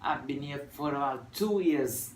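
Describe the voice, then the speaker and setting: A Vietnamese man in his early twenties speaks in steady, rehearsed English with a Vietnamese accent and the odd "uh". He is recorded from a distance in a roomy, echoing space, with faint noise behind him. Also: mid-range voice. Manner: measured, rehearsed delivery with "uh" fillers. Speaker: university student. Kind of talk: scripted classroom dialogue practice. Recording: echoey room from far off, faint noise.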